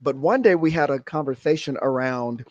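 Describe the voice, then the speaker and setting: A man's voice: medium pitch, normal speed, no room sound, lo-fi sound. A man from middle Georgia, a guest on an interview show, speaking earnestly and with some fillers. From middle Georgia, USA. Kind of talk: conversational interview.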